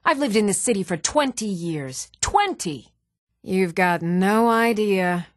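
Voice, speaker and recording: Fantasy game townswoman, medium pitch, even-toned, measured theatrical phrasing, clear enunciation, very dry recording. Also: no room sound. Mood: indignant, wry. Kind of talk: scripted video game voice acting.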